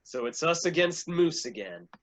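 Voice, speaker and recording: A man's voice: on the high side, very animated, moderate pace. A man acting a weary, laconic police-chief type of hero in a staged play reading, with some noise on the recording. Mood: deadpan. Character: groggy, deadpan male chief, weary gun-toting action hero.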